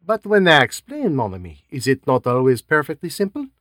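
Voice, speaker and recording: A man's voice: a little high, huge pitch swings, a little fast, a bit bright, dry recording, clean studio sound. A man voicing a fussy, dapper middle-aged male detective who speaks precisely and politely with French touches. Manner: precise, polite, faintly French-flavoured phrasing.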